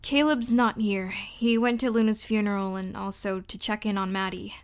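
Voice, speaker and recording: A woman voicing a thoughtful young woman who speaks in a measured, gentle way. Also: medium pitch, steady tone, clean tone, natural voice.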